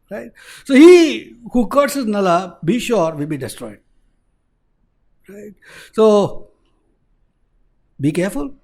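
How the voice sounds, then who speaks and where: A man's voice: high-pitched, full character voice. A man voicing a proud male king of the gods who lectures and warns emphatically.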